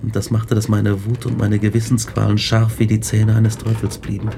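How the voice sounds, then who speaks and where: A guilt-haunted scientist narrates his tale in a radio play. The voice is gravelly and measured with very little melody, grave and brooding. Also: on the low side, completely flat delivery, background noise. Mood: brooding, somber. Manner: grave, brooding, literary delivery. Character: tormented male scientist recounting his guilt and dread. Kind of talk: first-person dramatic narration in a radio play.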